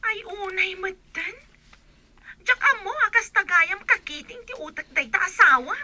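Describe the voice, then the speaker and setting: A woman's voice: very high voice, animated, normal speed, pushed and bright, a little hiss or hum, full character voice. A woman playing a blunt, mocking young friend in a radio drama, with a Filipino accent. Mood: blunt, scoffing.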